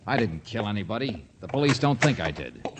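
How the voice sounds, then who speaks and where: A hard-boiled American detective with a fairly low voice. He is terse, wry and pushy, in classic 1950s radio style. The old recording has some noise in it. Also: sing-song, brisk. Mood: urgent. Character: tough wisecracking male private eye in a jam.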